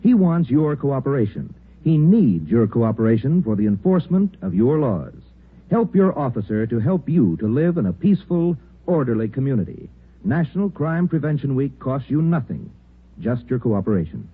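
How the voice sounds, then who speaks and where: A man making 1950s radio sponsor announcements, earnest and emphatic, with a husky voice. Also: medium pitch, very animated, moderate pace. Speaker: commercial announcer. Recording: narrow, tinny old radio recording.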